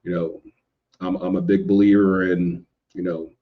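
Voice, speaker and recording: A man in a casual podcast discussion, speaking haltingly and repeating words as he works out his thoughts. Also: low voice, flat delivery. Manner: halting, repeats words while thinking, mild profanity. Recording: dull, lo-fi microphone sound.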